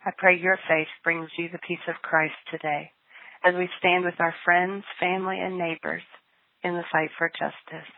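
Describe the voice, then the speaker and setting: A woman's voice: lowish voice, flat delivery, moderate pace, vocal fry. A woman leading a guided spoken prayer. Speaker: prayer leader. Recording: thin phone-like sound.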